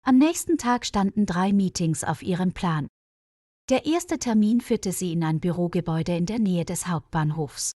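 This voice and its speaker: A woman's voice: fairly low pitch, animated, normal speed, mellow, no echo, studio quality. A woman reading a scripted story for language learners as its narrator. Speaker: narrator of stories for German learners.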